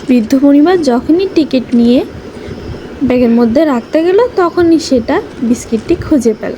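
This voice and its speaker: A woman's voice: sits high, animated, moderate pace, mellow, muffled recording, faint music behind. A woman narrating a scripted story for young children as a storyteller.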